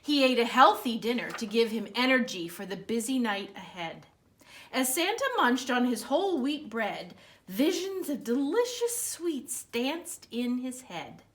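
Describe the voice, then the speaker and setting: A woman with a high, bright voice reads a children's story aloud. She takes her time, leaning on key words with dramatic expression. Her delivery is aimed squarely at young listeners, as a library storytime reader. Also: very animated, a little slow. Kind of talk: animated read-aloud of a children's picture book.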